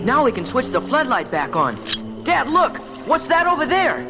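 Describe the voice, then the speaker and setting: Cartoon boy hero: very high voice, curious, excitable, lively intonation, classic adventure serial feel. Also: normal speed, heavily acted. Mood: anxious, curious. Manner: wide-eyed, excitable. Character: eager, curious young boy adventurer, scientist's son. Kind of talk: vintage cartoon adventure voice acting. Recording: music playing behind the voice.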